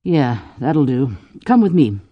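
A woman voicing a brisk, commanding noblewoman who plays matchmaker with wry teasing. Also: rumbling low, very animated, no room sound, highs cut off, heavily acted.

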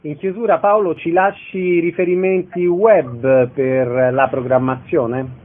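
A man with a slightly high voice hosts a radio interview. His sound is narrow and tinny, as if coming through a phone, and his delivery is that of a presenter. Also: level tone, takes it slowly.

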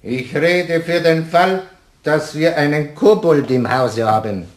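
An actor playing a tipsy, stubborn husband with a Bavarian accent, blustering and mocking in a German radio play. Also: male voice, on the high side, slow. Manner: blustering, emphatic.

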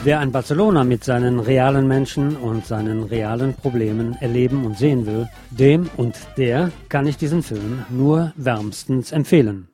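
A man hosts a radio show, delivering a scripted film review. His voice is mellow and unhurried in feel, measured and explanatory. Faint music plays behind him. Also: mid-range voice.

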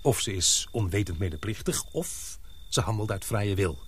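A man acting in a radio play as a grave, suspicious expedition member, speaking earnestly and deliberately. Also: lowish voice, expressive, fairly bright tone, slight background noise. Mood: ominous, resolute. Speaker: radio drama actor.

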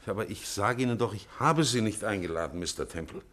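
An actor in a 1950s German radio play as an irritated, defensive gentleman who speaks formally and emphatically. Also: lowish voice, lively intonation, fast talker. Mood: indignant.

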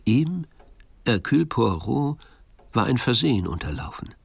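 A man narrating a German radio crime drama in a measured, literary storytelling manner. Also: medium pitch, big pitch swings, vocal fry, slightly rough, no echo.

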